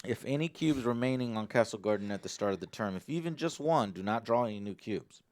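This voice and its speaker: A man's voice: lowish voice, expressive, fast, darkish tone, dry recording, clean studio sound. A man hosting a board game, casually explaining the rules and partly reading them aloud. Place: indoor game table on a livestream.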